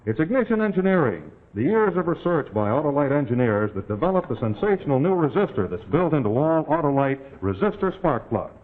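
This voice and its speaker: A man's voice: a little high, sing-song, brisk, hardly any pauses. A man delivering a scripted 1940s sponsor commercial as announcer, with a folksy, emphatic sales delivery. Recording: muffled, narrow-band 1940s TV broadcast.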